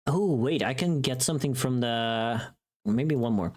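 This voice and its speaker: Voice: mid-range voice, measured pace, a little mellow. A livestreamer chatting casually with viewers in a playful, teasing, rambling way. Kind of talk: casual chat with live viewers.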